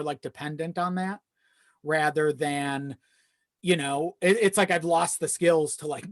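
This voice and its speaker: A man's voice: on the high side, no echo. Casual male podcast guest, dry close sound. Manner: self-deprecating; casual, profane. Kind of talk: casual chat.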